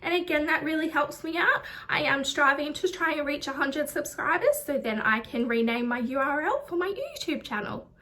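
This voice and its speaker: Chatty woman, high voice, slightly nasal. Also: expressive, normal speed, sharp tone. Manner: chatty, lots of ums and likes. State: slightly nasal from a chest infection. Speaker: beauty vlogger. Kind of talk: casual product review vlog.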